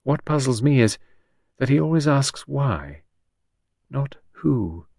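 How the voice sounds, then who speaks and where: A bewildered widow from an audiobook mystery, put on by a male reader. The voice is lifted in pitch and sounds plaintive and apologetic. She talks vaguely and anxiously, in a dry recording. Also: sing-song, slow, gravelly, a little mellow, no echo, heavily acted. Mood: bewildered, piteous.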